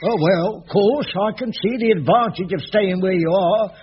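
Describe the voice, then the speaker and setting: A man's voice: high-pitched, normal speed, close to an everyday voice. A man playing a chuckling jobsworth caretaker. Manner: joking, chuckling, evasive. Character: chirpy jobsworth middle-aged male caretaker. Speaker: sitcom lead. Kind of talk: 1980s radio sitcom acting. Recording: dull old radio recording with hiss.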